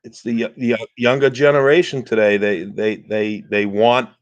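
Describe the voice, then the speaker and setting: A man's voice: lowish voice, level tone, moderate pace, no room sound. A man in his fifties from the United States, calling into a livestream for casual political chat, blunt and repeating himself as he stammers into his points. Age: 50s. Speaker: caller on a livestream.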